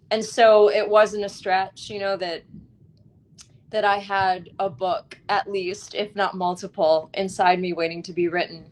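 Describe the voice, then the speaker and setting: A woman author speaking as an interview guest, telling her story in an expressive, articulate and reflective way. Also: medium pitch.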